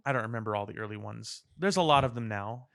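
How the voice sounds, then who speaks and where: A man's voice: fairly low pitch, fast talker, vocal fry, fairly bright tone, dry recording, studio quality. A man co-hosting a casual pop culture podcast in a wry, joking way. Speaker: podcast co-host. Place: podcast studio.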